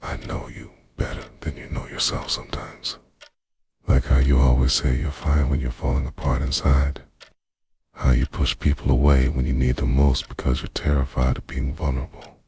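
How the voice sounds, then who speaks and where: Possessive yandere boyfriend played by a man for ASMR roleplay: extremely deep, soft, manipulative and insistent, closely miked and dry. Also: completely flat delivery, moderate pace, no echo, heavily acted. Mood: quiet, intense. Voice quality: soft, low, breathy at times. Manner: intimate, insistent, pleading and accusing. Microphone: close microphone, dry sound.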